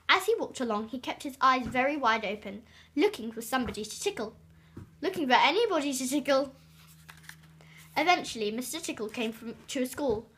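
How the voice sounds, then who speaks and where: A woman reading a children's storybook aloud expressively, with dramatic, drawn-out emphasis on key words. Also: high voice, fairly bright tone, a little hiss or hum. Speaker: storybook reader.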